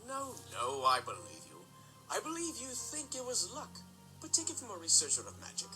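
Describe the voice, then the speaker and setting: An actor playing a stern, older, aristocratic father and magic researcher in an audio drama, speaking formally and deliberately. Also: high-pitched, huge pitch swings, pushed and bright, exaggerated voice, rough recording. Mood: solemn, musing. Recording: music behind the voice.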